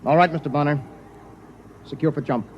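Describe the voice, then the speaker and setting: An actor playing a resolute starship captain, speaking formally and with clipped authority in 1950s radio drama style. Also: male voice, a little high, steady tone, a little fast, natural voice. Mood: grim command. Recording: muffled vintage radio, faint noise.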